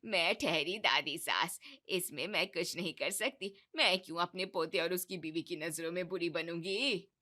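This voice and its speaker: A crafty old grandmother from a cartoon tale, low and husky-edged, speaking slowly and smugly, as a woman puts her on. The voice sits well below the storyteller's own and carries a bright, clear tone. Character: stern elderly grandmother-in-law, sly and teasing.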